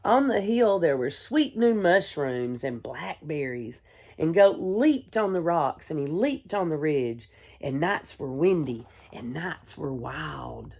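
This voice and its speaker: A woman, a kindergarten teacher, reading a storybook aloud to young children in a gentle, expressive way. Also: deep voice, husky. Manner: gentle, hushed, expressive storytelling with deliberate pauses; addresses young listeners with simple questions.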